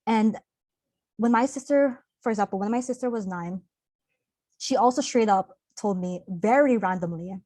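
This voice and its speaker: A young woman speaks earnestly in an online workshop, her tone open and conversational with easy fillers. Her voice sits at an ordinary middle pitch. The sound is dry and close, like a video call. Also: normal speed, warm tone, dry recording. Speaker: workshop presenter.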